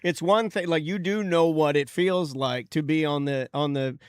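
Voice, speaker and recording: Brief: man around fifty, quick interjecting co-host, slightly raised pitch, dry mic. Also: clean tone, no echo. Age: 50s. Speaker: podcast co-host. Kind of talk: casual podcast chat.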